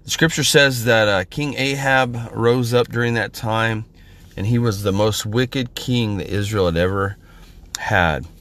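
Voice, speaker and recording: A middle-aged man from Central California, hoarse from a head cold, giving an informal podcast sermon in a halting way with many pauses and fillers. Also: fairly low pitch, a bit bright. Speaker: podcast minister.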